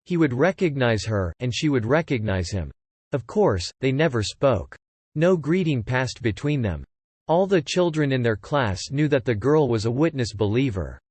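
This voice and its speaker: A man narrating a scripted audiobook story in a measured storytelling manner. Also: mid-range voice, animated, darkish tone, husky, dry recording.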